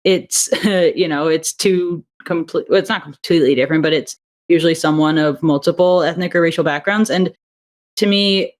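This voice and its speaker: A woman's voice: low voice, normal speed. Chatty woman, thoughtful and rambling. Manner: thoughtful, rambling, with fillers and small laughs. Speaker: audio drama writer and director, guest. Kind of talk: casual interview chat.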